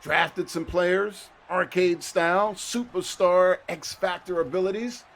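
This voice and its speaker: A middle-aged man doing play-by-play commentary on a football video game tournament, his voice jumping up with excitement on big plays. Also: high voice, unhurried, creaky. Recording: faint background noise.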